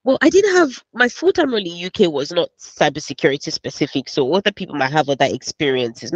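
A woman's voice: low voice, dry recording. Brief: young Nigerian woman, Nigerian accent, candid panelist, dry muffled headset audio. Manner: candid, reflective, brisk asides. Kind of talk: webinar panel answer. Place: home office, virtual background. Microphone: headset mic on video call. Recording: dull dry video-call audio.

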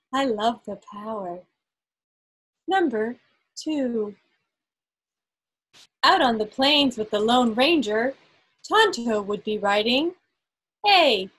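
Slow, high-voiced woman reading quiz questions. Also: fairly bright tone, slightly rough, rough recording. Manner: deliberate, pausing between phrases. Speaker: quizmaster. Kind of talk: reading quiz questions aloud to an online group. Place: indoors on a group video call. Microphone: video call microphone.

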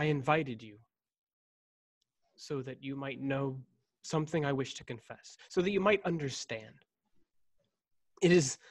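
A man's voice: mid-range voice, natural voice. A man in his thirties playing a brooding, stiffly formal clone in a science-fiction radio play. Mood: guarded, formal. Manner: measured, stiffly formal diction. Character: brooding, formal young man, secret clone of noble family. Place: home room on video call. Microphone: desk condenser microphone, headset.

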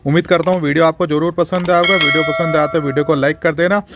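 A man's voice: a little high, fairly even intonation, fast, heavy background noise. A man hosting a phone tutorial, explaining in an instructional way and repeating each step. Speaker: tech tutorial channel host.

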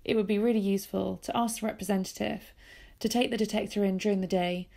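A woman reading a scripted voice-over that gives slow, careful, step-by-step instructions for setting up equipment. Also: mid-range voice, fairly even intonation, gravelly, warm tone. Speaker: project presenter.

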